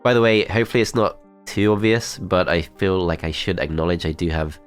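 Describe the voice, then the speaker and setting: A man with a cold and a blocked-up, nasal voice, calmly talking through his moves in a strategy game. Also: deep voice, brisk, clean tone, faint music behind. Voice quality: nasal, congested. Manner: calm, methodical, thinking aloud. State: has a cold, blocked-up nose. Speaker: gameplay commentator. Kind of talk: strategy game let's play commentary.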